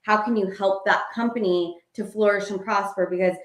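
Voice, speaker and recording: A woman co-hosting a motivational talk in a mid-range voice. She is chatty and direct, offering practical tips. The room adds a clear echo to everything she says. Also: mid-range voice, steady tone, moderate pace. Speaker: co-host. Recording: echoey room.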